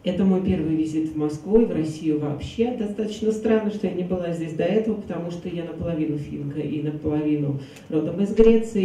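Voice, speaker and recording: A woman interpreting a lecture in a large hall, speaking in a smooth, formal way. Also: lowish voice, mellow, slight background noise. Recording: echoey hall from far off.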